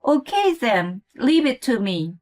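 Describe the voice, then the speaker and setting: Story role: eager, sweetly insistent mother, female performer, high and sunny, unhurried. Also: big pitch swings, darkish tone, faint music behind. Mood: cheerful, eager. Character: cheerful, eager mother of a grown son. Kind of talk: acted story dialogue.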